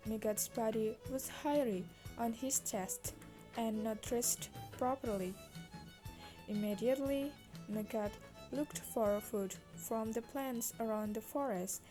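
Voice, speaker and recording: A woman narrates a children's story in a high, mellow voice. Her scripted delivery is slow, with music clearly playing behind her.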